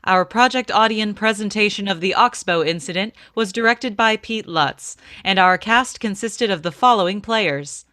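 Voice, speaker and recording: A woman's voice: medium pitch, moderate pace, hardly any pauses, bright, forceful tone, close to an everyday voice. A woman announcer reading scripted credits in a formal, proclaiming 1950s network radio style.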